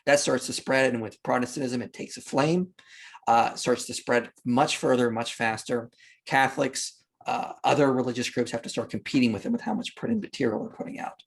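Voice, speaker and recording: A man's voice: medium pitch, moderate pace. A man speaking as a podcast guest, explaining history and religion in a conversational way and repeating phrases for emphasis.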